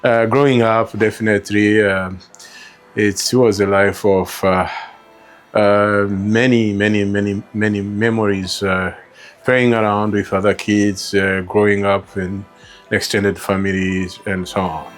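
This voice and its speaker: A middle-aged man with a Rwandan accent shares personal memories in an interview. His voice sits fairly low and he speaks very slowly, in a halting way that often repeats words. Faint music plays behind him. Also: flat delivery. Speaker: interview guest, store co-founder. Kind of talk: reflective personal storytelling in an interview.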